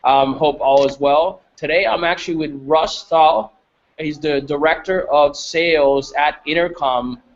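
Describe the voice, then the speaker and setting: A man hosting a casual interview, speaking in halting phrases with repeated words. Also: on the high side, highs cut off. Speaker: interviewer, host.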